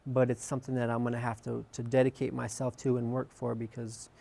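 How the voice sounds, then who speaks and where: A man's voice: mid-range voice, completely flat delivery, a little fast, vocal fry, dark tone. A young man giving reflective answers in a news interview. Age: young adult.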